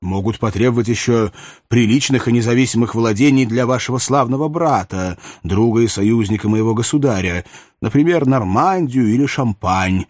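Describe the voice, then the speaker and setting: A male reader plays a shrewd, honourable counsellor at a royal court in a dramatized historical novel. He speaks at medium pitch, composed and formal, with polite frankness. The recording is dry and close. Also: darkish tone, no room sound, close to an everyday voice. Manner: measured, courtly, deferential but frank.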